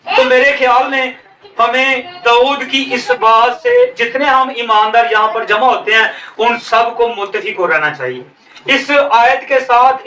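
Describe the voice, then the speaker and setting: A man's voice: very high voice, sharp tone, a little hiss or hum. A male pastor with a Pakistani Urdu accent delivering a sermon, stretching and stressing his phrases as he preaches. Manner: preaching, drawn-out emphatic phrases. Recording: echoey room, voice from some distance.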